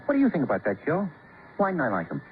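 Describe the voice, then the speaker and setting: An actor playing an edgy, deferential sidekick in an old-time radio crime drama, hesitant and quick to echo his pal. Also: a little high, wildly swooping pitch. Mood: sullen, guarded. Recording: tinny, noisy vintage radio recording.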